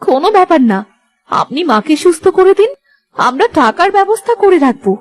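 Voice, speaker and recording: A woman's voice: extremely high pitch, sing-song, brisk. A woman playing a caring, protective young village daughter-in-law in a cartoon, speaking in an earnest, reassuring manner. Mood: earnest, worried. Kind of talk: animated moral story acting.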